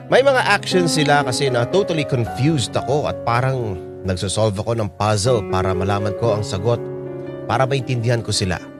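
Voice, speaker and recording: A man with a Filipino accent voicing a confused, frustrated letter writer in a dramatic first-person radio narration. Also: lowish voice.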